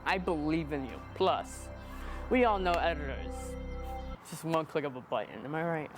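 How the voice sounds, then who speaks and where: A man's voice: on the high side, moderate pace, over music. A man of twenty-one chatting casually in a rambling, self-deprecating, playfully joking way. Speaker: interviewee, amateur videographer. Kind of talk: casual chat.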